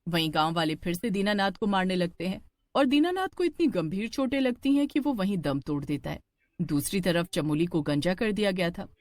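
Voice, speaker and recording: A woman narrating a scripted horror cartoon story in an expressive storytelling style. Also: fairly low pitch, moderate pace, dry recording.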